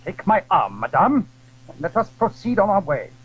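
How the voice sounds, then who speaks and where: A man's voice: high voice, very animated, normal speed, breathy edge, no room sound, muffled recording, pitched above the performer's own voice, exaggerated voice. A man acting a haughty, coolly polite musketeer with a foreign accent in a period audio drama. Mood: haughty, impatient.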